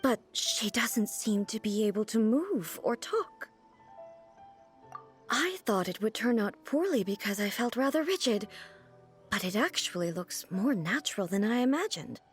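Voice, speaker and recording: An actress playing a calm, formal female ruler goddess in a video game, speaking in a measured, reflective way. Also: fairly high pitch, soft background music. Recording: game soundtrack under voice.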